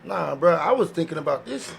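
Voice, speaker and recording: Gravelly, fairly high-voiced man chatting. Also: lively intonation, brisk, slight background noise. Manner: slangy, conversational, quotes imagined people. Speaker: podcast panelist. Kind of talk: casual opinionated group chat.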